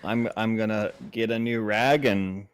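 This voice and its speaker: A man playing a tabletop game, chatting casually in character in a measured, agreeable and polite way. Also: fairly low pitch, fairly even intonation, smooth. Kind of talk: casual in-game roleplay chat.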